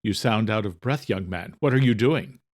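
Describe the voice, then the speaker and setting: A man playing a courteous older male doctor in an audio drama, speaking in a measured, formal way. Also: lowish voice, darkish tone, natural voice. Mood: calm, formal. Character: composed, courteous older British doctor and researcher.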